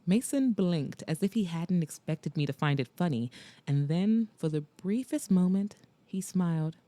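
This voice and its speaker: A woman narrating a romance audiobook in first person, with a measured, intimate delivery on a close studio mic. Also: on the low side, lively intonation, warm tone, dry recording.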